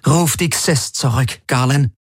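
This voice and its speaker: A man's voice: mid-range voice, measured pace, slightly rough, dry recording, clean studio sound, voice pushed up. A man voicing a cold, aristocratic female fleet commander in an audiobook, imperious and threatening. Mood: icy threat.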